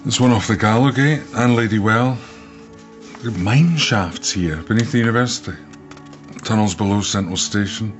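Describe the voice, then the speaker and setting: An actor as a brooding Scottish investigator in a radio play, absorbed in his thoughts. His voice is fairly low. It is slightly muffled, with faint music underneath. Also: normal speed, close to an everyday voice. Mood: preoccupied. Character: brooding, preoccupied, sleepless male investigator. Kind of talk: naturalistic radio drama acting.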